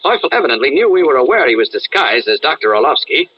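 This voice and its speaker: An actor playing a decisive investigator hero in a radio drama, slightly husky, brisk and confident. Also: male voice, mid-range voice, very animated. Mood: urgent, confident. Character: sharp, decisive male reporter hero working out clues. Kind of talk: old-time radio drama acting. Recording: dull lo-fi radio-style recording.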